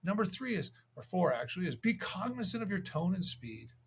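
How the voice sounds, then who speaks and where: Husky, higher-voiced man coaching. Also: sing-song, fairly quick. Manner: conversational, acts out sample calls. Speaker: real estate sales coach. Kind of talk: instructional video talk with sales tips.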